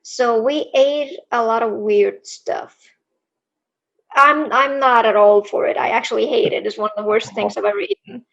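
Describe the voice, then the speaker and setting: A woman's voice: a little high, steady tone, normal speed, vocal fry, a bit bright. A woman from Iceland with an accent that mixes Icelandic, American and European sounds, chatting casually as a guest author over an online call. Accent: mixed Icelandic, American and European. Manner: chatty, laughs often. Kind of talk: casual interview chat. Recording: dull lo-fi online call audio.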